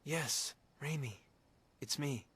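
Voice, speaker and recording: A high-pitched, earnest young hero. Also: male voice, slow pace, fairly bright tone, exaggerated voice. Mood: concerned. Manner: earnest, hesitant, self-effacing. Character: earnest, modest young male adventurer hero. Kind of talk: anime-style fantasy game dialogue. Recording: clear game ambience noise behind.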